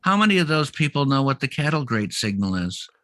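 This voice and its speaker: A middle-aged man co-hosting a podcast in casual comedic chat, telling things in a rambling, halting way. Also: mid-range voice, big pitch swings, fairly bright tone, no room sound. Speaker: podcast co-host.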